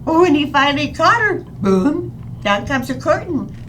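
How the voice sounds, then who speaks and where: Scatterbrained sitcom wife played by a woman: high, bright, swooping voice, earnest and bubbly, old-time radio style. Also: very animated, normal speed, slight background noise. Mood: chatty, innocent. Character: cheerful scatterbrained wife with daffy sincere logic. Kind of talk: old-time radio comedy acting on stage.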